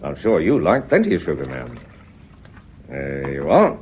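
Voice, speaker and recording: A man's voice: very deep voice, huge pitch swings, unhurried, slight background noise, exaggerated voice. An actor playing a genial, sly British police inspector in a radio drama, folksy and deferential yet pointed in his questioning. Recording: dull, lo-fi radio recording.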